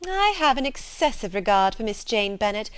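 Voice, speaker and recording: A woman's voice: medium pitch, big pitch swings, normal speed, clear, resonant tone, close to an everyday voice. A woman reading a period novel aloud, voicing a snide, gossipy young society lady who stresses words with scandalised emphasis. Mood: mock-sweet disdain. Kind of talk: audiobook character dialogue from a period novel.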